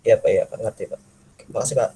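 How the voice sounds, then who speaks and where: A man's voice: racing, mellow, rough recording. A young man asking questions as a student, deferential and thinking aloud. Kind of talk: hesitant classroom questioning.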